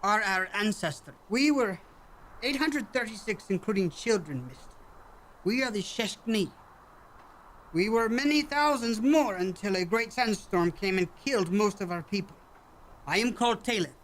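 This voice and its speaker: Alien village hunter-leader for sci-fi radio play, played by an actor: calm, formal, measured, stilted phrasing, medium pitch. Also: slight background noise, natural voice, poor audio. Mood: solemn.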